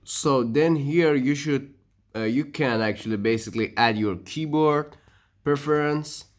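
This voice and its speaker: A man whose first language is Persian, narrating a computer setup walkthrough with frequent pauses, restarts and loose non-native phrasing. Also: medium pitch. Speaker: tech tutorial maker. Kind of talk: screen-recorded walkthrough narration.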